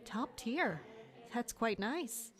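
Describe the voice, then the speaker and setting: Chatty, high-voiced young noblewoman, played by a woman. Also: very animated, extremely slow, full character voice. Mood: warm, chatty. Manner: chatty, inquisitive, candid. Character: friendly, chatty young noblewoman, betrothed, curious and candid. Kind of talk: audio drama dialogue.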